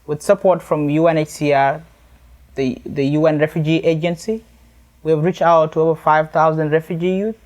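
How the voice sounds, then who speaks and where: A young man from South Sudan with a South Sudanese accent, giving a prepared personal testimony in an earnest, deliberately phrased way. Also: on the high side, steady tone, a little mellow. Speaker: youth activist and charity event speaker.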